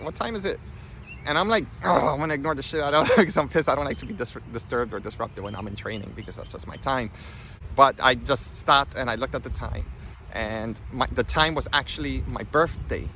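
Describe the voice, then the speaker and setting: A man giving an informal spiritual talk with personal stories, in an animated, conversational manner. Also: medium pitch, fairly quick, slight background noise. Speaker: spiritual healing coach and vlogger.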